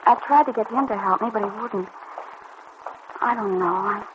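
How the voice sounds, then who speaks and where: A woman's voice: mid-range voice, moderate pace, lightly acted. An actress playing a troubled, lovesick young girl in a vintage radio serial, speaking in an earnest, hesitant, pleading way. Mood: remorseful, downcast. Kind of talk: 1940s-50s radio serial acting. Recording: dull, noisy old radio recording.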